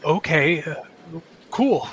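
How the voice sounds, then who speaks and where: An actor playing a nervous young office temp in a radio play, hesitant and halting. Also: male voice, a little high, animated, a bit bright, slight background noise, low-quality recording. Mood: awkward.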